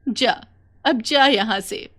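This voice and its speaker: A woman's voice: fairly high pitch, bright, forceful tone, voice pushed up, heavily acted. A woman voicing a sorrowful old village grandmother, tender and plaintive, in a children's story. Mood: weary, gentle. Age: older. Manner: drawn-out, plaintive pleading.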